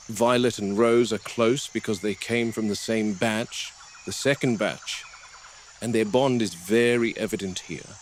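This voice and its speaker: A man playing a grave, observant game warden, narrating a scripted field log in a measured, dry, reflective way. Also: on the low side, slight background noise, close to an everyday voice.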